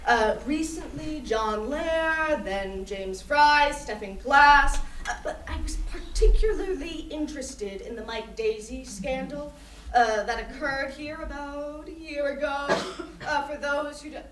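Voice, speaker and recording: In an echoey room, a woman plays a thoughtful visiting artist from China giving a critical talk on stage. Her voice is high and she speaks slowly and deliberately, picked up from far off. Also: fairly bright tone, a little hiss or hum. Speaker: interviewed artist. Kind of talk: staged play reading, mock artist talk.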